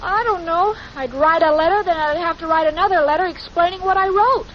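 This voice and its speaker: A woman's voice: very high-pitched, brisk. An actress with an American accent playing a sweet, naive, scatterbrained young woman in a 1940s radio sitcom, with dim, muddled earnestness. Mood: sheepish. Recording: noisy, muffled 1940s radio recording.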